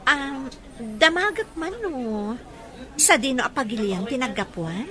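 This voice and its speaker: A woman's voice: high-pitched, expressive, a little slow, crowd noise. An actress playing a coy young working woman in an Ilocano radio drama, melodramatic and sweetly scheming. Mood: coy, friendly.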